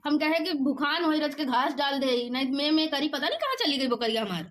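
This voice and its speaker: A woman's voice: high voice, animated, a little fast, fairly bright tone. A woman voicing a fretful village housewife in a comic cartoon, with an Awadhi accent. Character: fretful village housewife seeking her goat.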